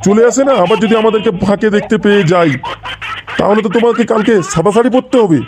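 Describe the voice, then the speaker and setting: Man voicing a flustered old grandfather, high-pitched, fast. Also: darkish tone, a little hiss or hum, natural voice. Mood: exasperated. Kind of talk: Bengali comedy cartoon dialogue.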